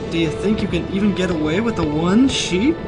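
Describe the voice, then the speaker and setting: A man plays a protective guardian in a dubbed anime. He speaks gently and earnestly in a raised voice, with music behind him. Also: high-pitched, a little fast, close to an everyday voice. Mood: concerned, warm. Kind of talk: anime English dub acting.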